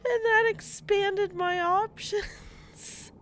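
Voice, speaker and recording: A woman's voice: very high voice, fairly even intonation, extremely slow, fairly bright tone, slight background noise. A woman with a stuffy cold voice, casually commentating a game and reading menu options aloud. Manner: reads game menus aloud and muses over options. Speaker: gaming channel host. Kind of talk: casual let's-play commentary.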